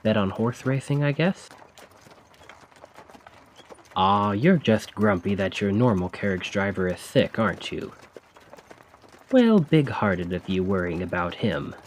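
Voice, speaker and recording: A man acts out a talkative carriage driver in an ASMR roleplay. He speaks slowly and fairly low, with big swings in pitch. His manner is casual, rambling and a little awkward, with a sly, probing edge. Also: lively intonation, slight background noise. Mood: chatty, teasing. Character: chatty, cheeky stand-in carriage driver, slyly probing. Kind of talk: ASMR roleplay acting, addressing the listener.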